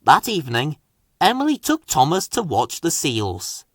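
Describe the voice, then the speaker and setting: A man reading a children's story aloud with dramatic, deliberate expression. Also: a little high, wildly swooping pitch, dry recording, clean studio sound. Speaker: storybook reader.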